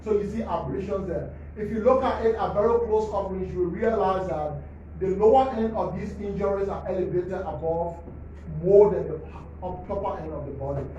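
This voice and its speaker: A man's voice: high-pitched, fairly quick, dark tone, a little hiss or hum. Man, forensic doctor, teacherly, keeps saying all right, roomy hall, distant dull mic. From Liberia. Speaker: forensic pathologist at a press briefing. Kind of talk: explaining autopsy slides to the press. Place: government press briefing hall.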